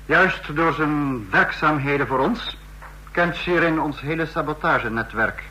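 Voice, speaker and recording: Bright-voiced, commanding regime leader. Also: male voice, fairly high pitch, unhurried, poor audio. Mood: cool authority. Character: commanding, coolly correcting male leader of a regime meeting. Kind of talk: Dutch radio play acting. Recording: faint hum, old radio recording.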